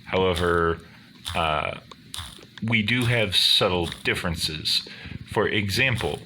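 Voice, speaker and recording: Male gaming host, booming low bass, unhurried and wordy, bright forceful edge, casual commentary. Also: a little hiss or hum, poor audio. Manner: deliberate, wordy, formal phrasing. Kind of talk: casual rambling commentary over gameplay.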